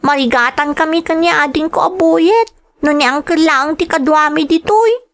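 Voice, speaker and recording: A woman's voice: sits high, normal speed, fairly bright tone. A woman playing a dutiful but weary young girl who complains, in a family audio drama. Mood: aggrieved, weary. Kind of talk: dramatized radio-style family drama acting.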